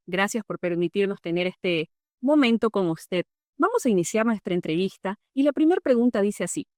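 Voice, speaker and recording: A woman's voice: medium pitch, no room sound, studio quality. A woman hosting an interview, speaking in a polite, formal manner as she introduces and questions her guest. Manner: polite, formal, warm-toned welcome. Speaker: interviewer, host.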